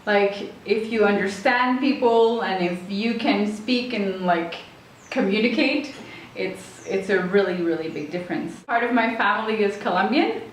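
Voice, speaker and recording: A woman's voice: mid-range voice, measured pace, far from the mic. A woman from Switzerland, chatting casually as an interview guest and rambling as she searches for words. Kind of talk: casual chat. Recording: very echoey room with faint noise.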